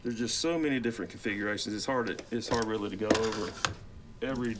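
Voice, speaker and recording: A man's voice: lowish voice, quick pace. A man in his forties giving an informal product walkthrough as a company expert, conversational and explanatory, with somewhat hesitant phrasing. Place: indoor office workbench. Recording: clear background room noise.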